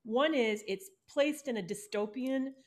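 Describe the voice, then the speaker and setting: A woman's voice: a little high, a little slow. A woman in a casual chat, giving advice on writing and affirming often. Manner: encouraging, gives advice, many affirmations.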